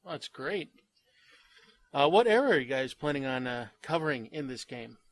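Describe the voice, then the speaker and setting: A man at a middling pitch hosts a gaming interview with upbeat, eager reactions. His voice sits close and dry, with no room sound around it. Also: lively intonation, moderate pace, dry recording. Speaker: interviewer and channel host.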